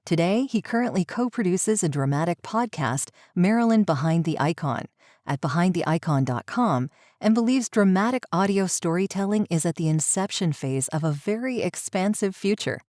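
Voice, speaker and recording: A woman's voice: on the low side, expressive, moderate pace, a little mellow, dry recording, clean studio sound. A woman speaking as a podcast host and interviewer. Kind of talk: scripted podcast introduction, then interview.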